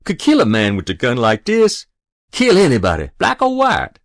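Storybook farm boy, teens, played by a man: rural Southern drawl, raised pitch, earnest, insistent, pleading. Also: lively intonation, normal speed, darkish tone, dry recording, clean studio sound. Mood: boastful, excited. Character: eager, pleading teenage farm boy longing for a gun.

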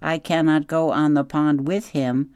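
A man voicing a careful, literal-minded female robot mother in an audiobook, speaking in a stiff, measured way. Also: fairly high pitch, fairly even intonation, darkish tone, clean tone, dry recording, studio quality, pitched above the performer's own voice. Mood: earnest. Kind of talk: audiobook character voice.

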